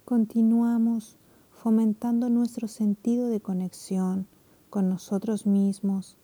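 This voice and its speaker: A woman leading a guided meditation, speaking softly and soothingly, her phrases often trailing into whispers. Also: mid-range voice.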